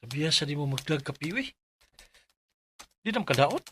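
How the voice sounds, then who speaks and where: A man's voice: on the high side, sing-song, moderate pace, background noise. An actor playing a friendly, chummy male coworker who gives advice in a Filipino radio drama, with a Cebuano accent. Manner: chummy, colloquial. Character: friendly, advising male office buddy.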